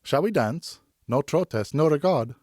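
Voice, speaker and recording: A man's voice: a little high, animated, measured pace, a little mellow, dry recording, pitched above the performer's own voice. A man voicing a cheerful young French noblewoman in an audiobook, elegant and lightly teasing with a dry wit. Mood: polite, lively. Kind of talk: audiobook character dialogue.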